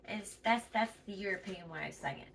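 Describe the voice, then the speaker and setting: A woman's voice: mid-range voice, fairly quick, a bit bright, recorded from a distance, a little hiss or hum. An Australian woman chatting casually to her viewers in a home vlog, emphatic and chatty, and a bit wheezy. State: wheezy, tight-chested. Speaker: vlogger.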